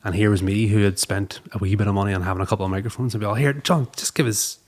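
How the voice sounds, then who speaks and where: A man's voice: lowish voice, fairly even intonation, very fast. A man in his thirties with a Northern Irish Derry accent, telling anecdotes casually as an interview guest in a chatty, stop-start way. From Belfast, Northern Ireland. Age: 30s. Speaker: music producer and manager being interviewed.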